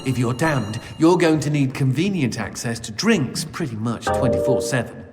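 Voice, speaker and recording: A man's voice: mid-range voice, lively intonation, moderate pace, close to an everyday voice. A man playing a chatty, witty demon sidekick in a video game, theatrical and mock-posh with a British accent. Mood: wry, chatty. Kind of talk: video game cutscene acting. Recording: reverberant, loud game music behind.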